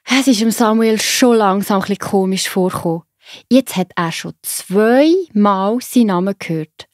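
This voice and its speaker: A woman with a Swiss accent narrating a story for children in a simple, expressive way. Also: medium pitch, moderate pace, dry recording, clean studio sound.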